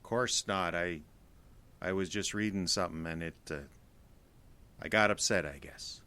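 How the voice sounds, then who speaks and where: An actor with an American accent playing a bitter, defensive middle-aged army veteran in an audio drama, gruff, sardonic and curt. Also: male voice, deep voice, fairly even intonation, a little slow, pushed and bright. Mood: defensive, irritable.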